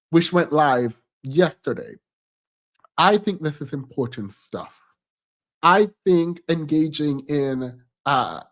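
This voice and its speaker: A man hosting a commentary channel, talking casually and reflectively about politics while congested from a cold. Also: a little high. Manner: chatty and reflective, frequent ums and restarts, occasional laughs.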